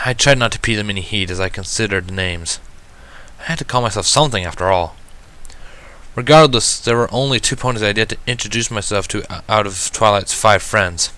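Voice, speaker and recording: Animated man narrating a story, low-pitched. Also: smooth. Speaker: fan fiction reader. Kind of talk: expressive first-person story narration.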